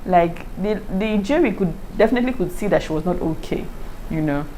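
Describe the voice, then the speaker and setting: A woman with a Nigerian accent, chatting casually to her viewers in a lively way and often breaking into laughter. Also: fairly low pitch, fairly quick, a little hiss or hum, rough recording. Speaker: YouTuber. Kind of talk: casual review chat to camera.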